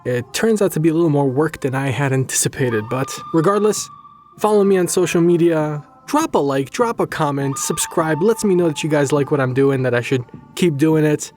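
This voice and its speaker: A man's voice: a little high, lively intonation, moderate pace, a little mellow, faint music behind. A man hosting his story channel, chatting casually and informally to his viewers. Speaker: host and storyteller of a horror channel.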